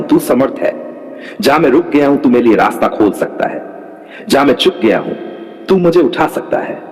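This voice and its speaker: A man leads a devotional prayer in a mid-range voice, pressing each line in fervent, rhythmic repetitions. His words ring out with a long, trailing reverb, as if spoken in a large hall. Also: medium pitch. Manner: fervent, rhythmic repeated declarations. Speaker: prayer leader.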